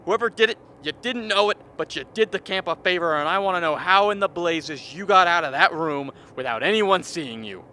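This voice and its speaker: A man's voice: high-pitched, fairly quick, few pauses, pushed and bright, a little hiss or hum. A man playing an exasperated, unnerved camp member in a declamatory radio drama style. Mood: agitated.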